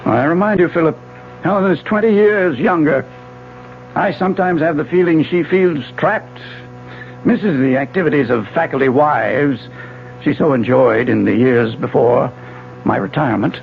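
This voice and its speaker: An actor playing a grave, wistful retired professor in a 1970s radio drama, speaking with formal, measured diction. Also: male voice, on the high side, vocal fry. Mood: troubled, earnest. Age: older. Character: grave, wistful retired physics professor, older married man. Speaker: narrator and lead actor. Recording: dull 1970s radio recording, faint music.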